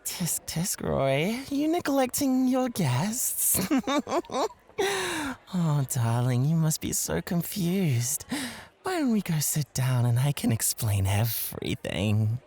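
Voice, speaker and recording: A woman plays an excitable, sly nightclub host who fawns over a guest in an ASMR roleplay. The voice is fairly low and slow, yet it swoops widely in pitch. The delivery is breathy, coy and possessive. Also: heavily acted. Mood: sly, teasing. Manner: breathy, gushing, giggly, stammering with excitement.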